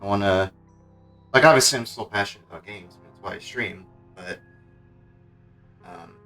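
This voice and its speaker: A man's voice: on the low side, moderate pace, fairly bright tone, faint music behind. A man chatting casually and a little hesitantly as he streams a game, in an informal, rambling way. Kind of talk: casual livestream gaming chat.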